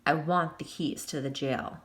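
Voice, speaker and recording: A woman voicing a girl who puts on a deep, croaking ghost voice. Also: fairly even intonation. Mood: menacing.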